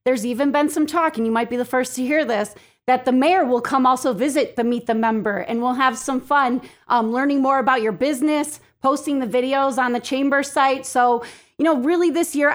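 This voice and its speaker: Female podcast guest, distinctly high-pitched, upbeat and conversational. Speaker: podcast guest, chamber of commerce president. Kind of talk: casual interview talk. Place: home basement studio.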